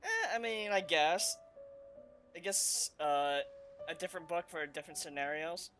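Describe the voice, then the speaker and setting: A man's voice: a little high, normal speed, fairly bright tone, faint music behind. A man casually commenting while playing a video game, rambling and hesitant with many fillers.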